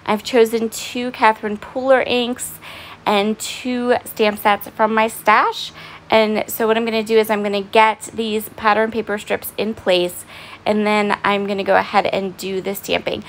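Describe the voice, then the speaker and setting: A woman giving a casual, chatty voice-over of her craft project at home, her voice raspy and hoarse and she often pauses with "um". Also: medium pitch, little pitch movement, slight background noise. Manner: chatty and apologetic, with frequent "um"s. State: hoarse, voice partly fading. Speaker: craft vlogger. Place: at home, in bed.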